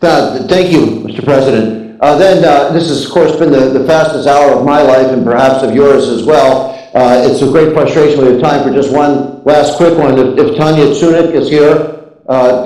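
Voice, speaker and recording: A man's voice: medium pitch, dark tone. A man moderating a question-and-answer session, speaking in a friendly way with many hesitations and fillers. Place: university auditorium. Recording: roomy hall, voice from a distance.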